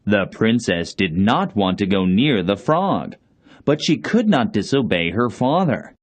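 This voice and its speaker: A man's voice: lowish voice, big pitch swings, measured pace. A man narrating a children's fairy tale as a scripted storybook reading.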